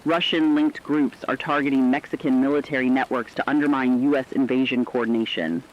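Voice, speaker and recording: A man's voice: medium pitch, steady tone, a little fast, dull audio, natural voice. A man voicing a serious news analyst in a radio crisis broadcast, explaining events in formal scripted speech.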